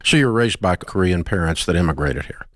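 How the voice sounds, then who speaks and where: A man with a low, dry voice hosts a radio advice show. He scolds bluntly and sounds incredulous, cutting in with short pointed questions. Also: animated, fairly quick, no echo.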